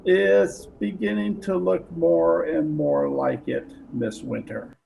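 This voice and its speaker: A man's voice: on the high side, fairly even intonation, slow, creaky, mellow, exaggerated voice. A man playing a polite police detective who questions with hesitant, feigned casualness in an old-time radio mystery. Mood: probing, suspicious. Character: polite but probing male police detective, casually suspicious. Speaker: actor in an online radio play performance. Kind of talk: 1940s radio mystery acting. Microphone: video call. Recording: noisy home video-call recording.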